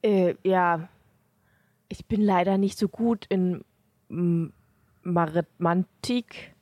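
A woman playing a naive, timid post office customer who speaks awkwardly and haltingly in an amateur audio drama. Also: fairly low pitch, a little mellow, no echo.

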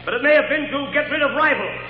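A stubborn, embattled police commissioner in an old radio drama. His very high-pitched voice makes formal, indignant speeches in his own defence. The sound is echoey and dull. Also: quick pace. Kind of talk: 1940s radio drama acting.

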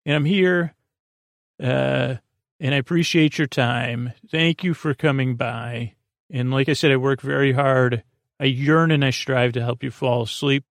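A man with a creaky voice hosting a sleep podcast, rambling through a bedtime monologue with halting, stammered, repeated words. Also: mid-range voice, no echo.

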